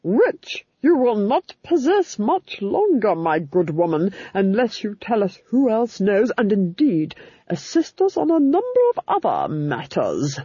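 A woman plays a haughty, cruel Duchess in an audiobook, issuing clipped, imperious commands. The voice is husky and highly varied in pitch, with an impatient menace, on a slightly dull, dry recording. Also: huge pitch swings, takes it slowly, darkish tone, dry recording, full character voice. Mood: grim menace. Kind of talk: audiobook character voice.

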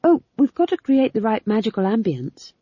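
A woman's voice: fairly high pitch, sing-song, normal speed, breathy edge, dry recording, narrow, tinny audio, voice pushed up. A woman voicing an earnest, prim, idealistic younger witch with brittle politeness in an audiobook. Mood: earnest, hopeful. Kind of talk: audiobook character voice.

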